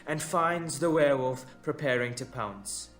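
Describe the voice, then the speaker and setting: A man narrates a dramatic audio story with slow, measured suspense. His voice sits at a medium pitch, and he leaves deliberate pauses between phrases. Soft music plays behind him.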